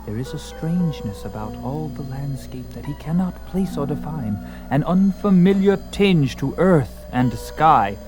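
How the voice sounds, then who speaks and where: A man narrating a scripted fantasy tale in a theatrical, intense manner with deliberate phrasing. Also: mid-range voice, low-quality recording. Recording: music under the voice.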